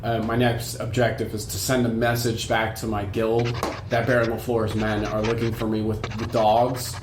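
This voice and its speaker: A man's voice: lowish voice, little pitch movement, moderate pace. A man playing a tabletop game, casually describing what his character says and does. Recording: echoey room, slightly distant, faint noise.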